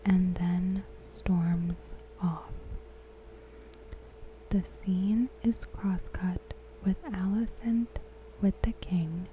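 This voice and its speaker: A woman softly whispering a scripted recap as an ASMR host, breathy, hushed and deliberate. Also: fairly low pitch, slight background noise, low-quality recording. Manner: measured, deliberate, hushed delivery.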